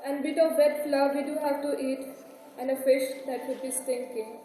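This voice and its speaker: A woman's voice: high-pitched, steady tone, moderate pace, dark tone, faint music behind, close to an everyday voice. A woman on stage playing a grieving, resigned old mother, lamenting solemnly in a declamatory way. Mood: weary grief. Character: grieving old Irish mother, resigned after losing her sons. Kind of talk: amateur stage tragedy acting. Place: college stage performance in a hall. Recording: echoey hall, distant mic, faint noise.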